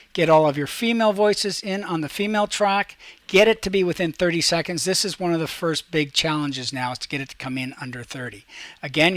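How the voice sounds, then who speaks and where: Guy teacher doing a software tutorial, kinda high voice, no room echo, explains step by step. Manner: talks through each step while demonstrating, checks in with "okay". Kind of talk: software tutorial for a class, casual instruction.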